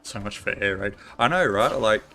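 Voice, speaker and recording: A man streams a video game with casual, off-the-cuff commentary. His voice is fairly low but bright and punchy, and he thinks aloud informally. Game music plays faintly behind him. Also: animated, moderate pace. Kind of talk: casual live gameplay commentary.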